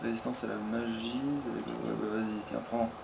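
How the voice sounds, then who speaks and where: A man's voice: mid-range voice, completely flat delivery, normal speed, gravelly. A man doing casual let's play commentary, slangy and sweary, thinking aloud as he plays. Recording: noisy home gaming capture.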